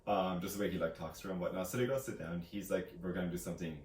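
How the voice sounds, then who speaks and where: Deep-voiced man chatting casually in an echoey room. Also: racing, a little mellow. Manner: rambling retelling, often acting out quotes. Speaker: co-host of a book review channel. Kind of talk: casual chatty book discussion. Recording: roomy echo, distant mic, faint hiss.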